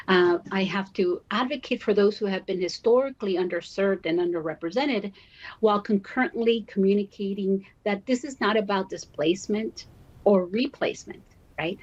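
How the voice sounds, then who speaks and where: A woman from Guatemala and Miami giving measured, deliberate, explanatory answers as a guest in a broadcast interview, often checking in as she goes. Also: medium pitch, muffled recording. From born in Guatemala, raised in Miami, Florida. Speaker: university diversity officer, interview guest.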